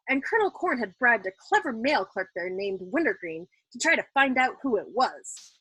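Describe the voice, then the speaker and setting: A woman reading a novel aloud in an expressive, dramatic audiobook style, as the host of a storytime. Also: on the high side, slightly rough, no room sound, low-quality recording.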